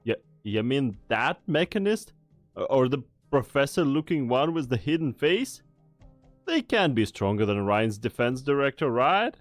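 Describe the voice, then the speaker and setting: Man doing a nervous young soldier, animated pitch, stammering, dry recording. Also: unhurried, dry recording, soft background music. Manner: stammering, eager. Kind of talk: amateur fan dub acting.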